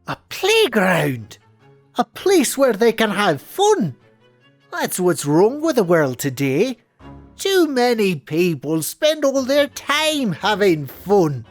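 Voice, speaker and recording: A man's voice: high voice, wildly swooping pitch, slow, soft background music, full character voice. A man voicing a miserly, cranky old cartoon duck tycoon in an indignant rant. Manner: indignant, ranting. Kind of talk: amateur cartoon voice acting.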